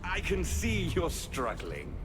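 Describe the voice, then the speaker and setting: A fanatical villain scientist, talks in a grandiose, persuasive and scornful way. His voice sits fairly high and swoops theatrically, as in a video game drama, over clear music. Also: wildly swooping pitch, slow pace, exaggerated voice. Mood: tempting.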